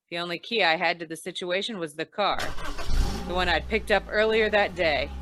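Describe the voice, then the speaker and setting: A woman's voice: fairly low pitch, background noise, close to an everyday voice. A woman playing a wry, hard-boiled insurance investigator, narrating an old-time radio noir in slangy style.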